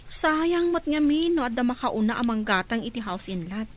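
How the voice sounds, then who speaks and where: An actress plays a grown daughter living away from her family. Her voice is very high, with an Ilocano accent. She speaks in radio drama style, defending her choices. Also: animated, moderate pace, close to an everyday voice. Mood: casual, planning. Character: grown daughter working away, defensive about house purchase.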